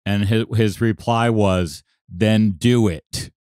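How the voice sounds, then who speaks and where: A man co-hosts a podcast, chatting casually and summing up what the guest has said. His voice sits low, and the recording is dry. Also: steady tone, slow, a little mellow, clear, resonant tone, dry recording. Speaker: podcast co-host.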